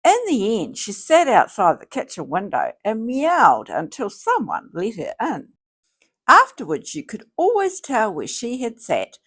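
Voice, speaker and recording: A woman reading a children's storybook aloud in a theatrical, deliberate way. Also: on the high side, wildly swooping pitch, a bit bright, slightly rough. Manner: slow, theatrical, deliberate phrasing. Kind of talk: expressive scripted storybook read-aloud.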